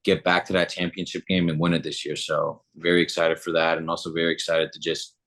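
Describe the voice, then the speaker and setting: Deep-voiced young man, relaxed and upbeat. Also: brisk. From Germany. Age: 20s. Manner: relaxed and upbeat, many "um" fillers. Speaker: guest, football player. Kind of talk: casual interview answers.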